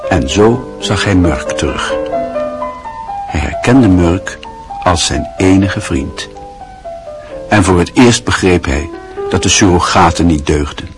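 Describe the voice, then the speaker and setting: Deep, lively male radio-play narrator. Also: over music. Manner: measured, expressive storytelling.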